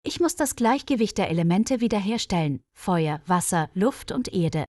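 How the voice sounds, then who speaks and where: A woman plays a wise old wizard from a storybook, brave and determined. The delivery is solemn and declarative, at a medium pitch, in a dry recording. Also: animated, moderate pace, a little mellow, no room sound, close to an everyday voice. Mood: worried. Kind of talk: storybook character dialogue.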